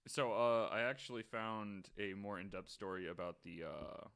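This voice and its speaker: A man's voice: fairly low pitch, steady tone, moderate pace, a bit bright, clear, resonant tone. A man on a podcast panel, chatting casually and reading stories aloud.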